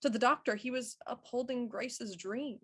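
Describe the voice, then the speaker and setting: A woman playing a shrewd, self-assured Victorian police inspector, explaining deliberately and theatrically in a murder mystery audio drama. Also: fairly high pitch, breathy edge. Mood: confident deduction.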